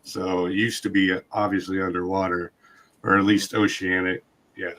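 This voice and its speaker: A man hosting a podcast in casual chat, his voice hoarse and scratchy from a long night of talking. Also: low-pitched, little pitch movement, moderate pace, fairly bright tone. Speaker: podcast host.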